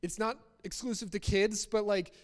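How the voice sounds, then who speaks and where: A man delivers a sermon in a notably high voice, with long reverb trailing his words. He talks conversationally yet with emphasis, restating phrases to drive each one in. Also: fairly even intonation, normal speed. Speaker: preacher. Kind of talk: sermon with acted-out example quotes.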